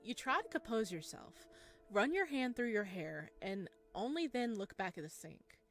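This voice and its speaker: Animated high-voiced woman playing a game. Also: normal speed, faint music behind. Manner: giggly, excitable, playful. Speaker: gaming content creator playing a visual novel. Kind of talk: let's play reading aloud with joking commentary.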